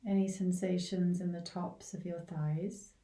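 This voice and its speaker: A woman leading a guided body scan meditation in a gentle, unhurried way, leaving long pauses between short phrases. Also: on the low side, level tone. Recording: roomy, echoing, distant from the mic.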